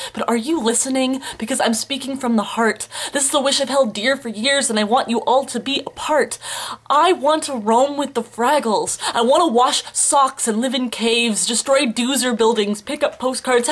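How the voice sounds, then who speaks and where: Woman in her twenties, slam poet, fairly high voice, rhythmic rhymed lines, earnest and theatrical. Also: a bit bright. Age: 20s. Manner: earnest, emphatic, theatrical delivery.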